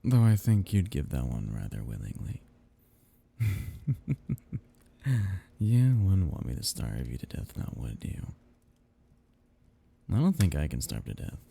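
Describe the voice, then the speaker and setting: A man playing a seductive, teasing, menacing vampire noble in an intimate ASMR roleplay, in a breathy, gravelly murmur. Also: very animated, full character voice. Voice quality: husky, breathy, low murmur with gravelly edges. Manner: slow, teasing drawl with soft whispered dips.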